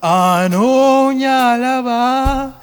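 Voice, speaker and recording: A man's voice: very high voice, drawn-out words, clean tone. A man with a Ugandan accent performing live spoken word poetry, chanting and singing with theatrical repetition.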